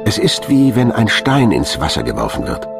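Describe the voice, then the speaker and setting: A man's voice: fairly low pitch, huge pitch swings, moderate pace, vocal fry. A man reading scripted narration for a science documentary as a studio voice-over. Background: background music.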